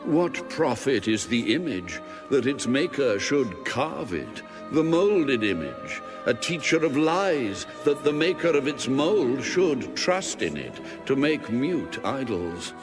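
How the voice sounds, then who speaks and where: A man giving a solemn, dramatic scripture reading in a measured, weighty, declamatory manner. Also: medium pitch, very animated. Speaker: audio Bible reader. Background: music under the reading.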